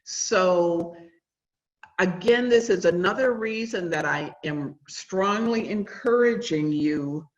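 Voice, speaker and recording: Woman trainer, loud carrying voice, lots of ums, dull roomy recording. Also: lowish voice, slow. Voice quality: loud voice that carries. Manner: chatty, uses many fillers. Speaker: school nutrition trainer. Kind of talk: webinar presentation.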